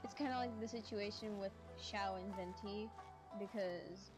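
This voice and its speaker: A young woman chats casually as a game streamer, at a medium pitch. She rambles along in an informal way, with music clearly playing behind her. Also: fairly even intonation, unhurried. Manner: chatty, rambling. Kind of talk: casual gameplay commentary.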